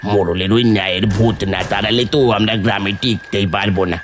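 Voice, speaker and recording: A man playing a poor, resentful, scheming village idler in an animated story, given to complaining and wheedling. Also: fairly low pitch, a little fast, over music, close to an everyday voice. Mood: plotting.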